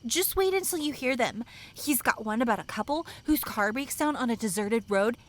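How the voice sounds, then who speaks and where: A woman playing an excitable, impatient young camp counselor who gushes and exclaims in an audio drama. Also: a little high, normal speed, natural voice. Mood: excited. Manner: gushing, exclamatory.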